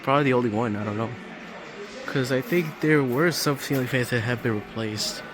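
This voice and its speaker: A man's voice: medium pitch, fairly quick. A man giving casual, off-the-cuff commentary while filming a walk through a big hardware store. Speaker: vlogger. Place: inside a large hardware store. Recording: store music in the background. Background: store music playing.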